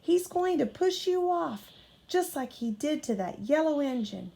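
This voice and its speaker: Woman as spiteful male diesel engine, storybook villain, raised pitch, loud, accusing, menacing. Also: animated, unhurried, gravelly, heavily acted. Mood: alarmed accusing.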